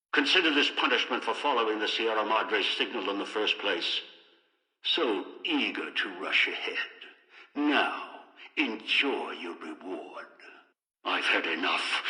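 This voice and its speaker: An older actor voicing a ruthless, obsessive, scheming old man in a video game, deliberate and imperious, with dramatic pauses and an echoing radio-style sound. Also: on the low side, pushed and bright, heavily acted. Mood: menacing.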